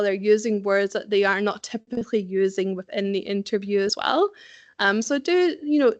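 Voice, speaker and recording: A middle-aged woman with a Scottish accent giving a practical, conversational career-advice presentation, often pausing with "um". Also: medium pitch, no room sound, lo-fi sound. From Scotland. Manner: practical, conversational, frequent ums, occasional laughs. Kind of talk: presentation to young professionals.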